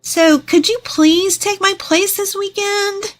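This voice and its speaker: Woman acting a shrill, bossy older sister. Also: steady tone, slow. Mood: pushy, scheming. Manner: exaggerated, scornful, teasing. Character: bossy, snooty twenty-eight-year-old older sister.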